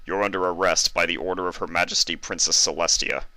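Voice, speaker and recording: A stern stallion guard from a cartoon fan story, acted by a man. The voice is deep and forceful, dry and commanding, with a threatening edge. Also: steady tone, quick pace, dry recording, low-quality recording. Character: stern, threatening male royal guard pony.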